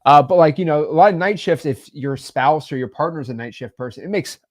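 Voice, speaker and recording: A man hosting a casual business chat, rambling and animated with lots of fillers. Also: on the high side, fairly quick. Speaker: real estate podcast host.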